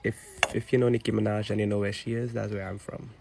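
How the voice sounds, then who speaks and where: Trinidadian man, low gravelly voice, exasperated direct rant to camera, faint ambience behind. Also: flat delivery, fast talker, noisy background.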